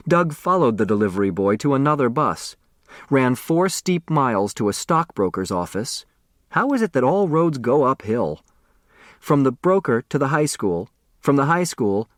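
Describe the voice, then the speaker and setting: A man's voice: medium pitch, fairly bright tone, dry recording. Male audiobook narrator, dry studio sound. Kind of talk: scripted storytelling narration.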